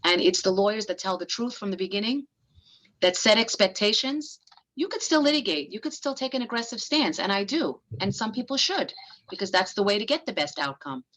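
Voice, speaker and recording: A woman family lawyer, giving a frank, brisk presentation to business owners. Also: mid-range voice, animated, fairly bright tone, low-quality recording.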